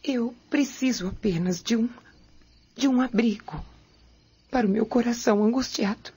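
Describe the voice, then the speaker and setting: A woman playing a frail, humble servant in a radio drama, hesitant and pleading, with a breathy husky voice. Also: on the high side, normal speed, dark tone. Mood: meek, pleading. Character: frail humble suffering female servant, feigning meek devotion.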